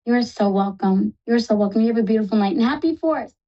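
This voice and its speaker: A woman with a New York accent hosting a live psychic call-in show, talking fast and reassuring callers affectionately. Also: on the high side, highs cut off. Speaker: psychic radio host.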